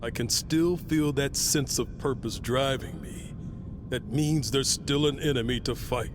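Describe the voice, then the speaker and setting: An actor playing an earnest, level-headed adventurer in a video game, plain-spoken. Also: male voice, a little slow, close to an everyday voice. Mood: earnest, resolute. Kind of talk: dramatic video game acting. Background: rumbling noise.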